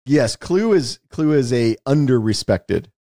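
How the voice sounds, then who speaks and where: A man's voice: mid-range voice, lively intonation, unhurried, dark tone, dry recording, clean studio sound. A man co-hosting a casual film discussion, talking in an opinionated, emphatic way. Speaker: podcast co-host.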